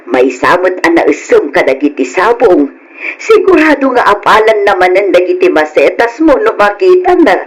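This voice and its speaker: An actor playing an affectionate older parent in a radio drama, chatting fondly with an Ilocano accent. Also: male voice, high-pitched, sing-song, normal speed, low-quality recording. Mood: cheerful, doting. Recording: old radio drama, faint noise.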